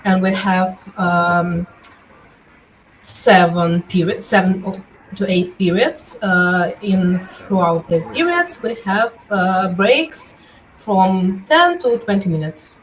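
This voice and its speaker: A woman's voice: medium pitch, lively intonation, takes it slowly, rough recording. Brief: teen girl from Russia, Russian speaker, hesitant interview guest, faint hiss behind. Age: teens. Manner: hesitant, many uh fillers. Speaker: student guest. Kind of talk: casual interview answers.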